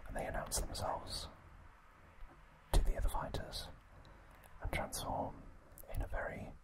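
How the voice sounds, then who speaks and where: An ASMR host whispering softly and gently in a breathy, unvoiced voice, recapping trivia in an unhurried way. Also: poor audio. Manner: soft, gentle, unhurried storytelling.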